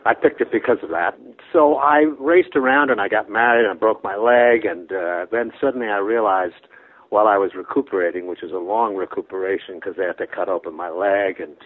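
A man in his nineties from Brooklyn, a guest telling long, rambling anecdotes that trail off softly, with restarts and chuckles. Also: on the low side, a little fast. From Brooklyn, New York. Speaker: interview guest. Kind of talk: casual storytelling interview. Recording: phone-like narrow band.

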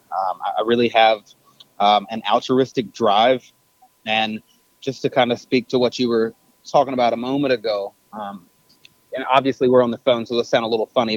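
A man's voice: mid-range voice, dry recording. Guy talking on the phone, kinda muffled and dry, lots of ums and backing up. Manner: thoughtful, many fillers and self-corrections. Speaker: podcast guest, business owner. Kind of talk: casual interview talk. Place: on a phone call. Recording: dull phone line.

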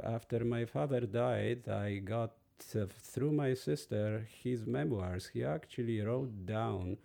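A man in his sixties from Poland with a Polish accent, a podcast guest slowly and thoughtfully recalling his past. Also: medium pitch, gravelly, mellow. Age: 60s. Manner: measured, thoughtful, pauses and restarts. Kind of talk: slow reflective storytelling.

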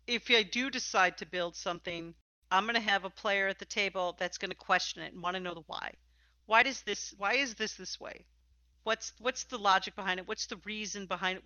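A woman hosting a conversational interview, talking in an animated, questioning way. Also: mid-range voice, dry recording. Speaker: podcast host and interviewer.

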